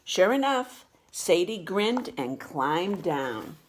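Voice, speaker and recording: A woman reading a children's picture book aloud with expressive, deliberate storytelling delivery. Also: on the low side, slight background noise. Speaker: storybook reader.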